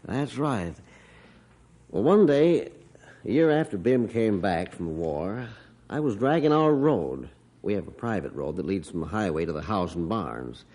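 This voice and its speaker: An actor playing an earnest farmer who tells stories deliberately and expressively in an old-time radio drama. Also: male voice, on the low side, big pitch swings, darkish tone, full character voice. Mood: earnest, reflective. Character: earnest farmer recounting his dog's heroics. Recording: faintly noisy vintage radio recording.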